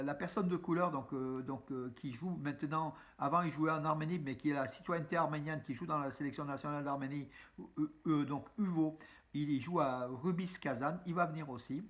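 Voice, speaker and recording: A man giving a casual spoken news roundup to his viewers, chatty and halting, with many fillers and restarts, addressing them as friends. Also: fairly high pitch, fairly bright tone. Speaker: news vlogger. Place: room with a map on the wall behind him.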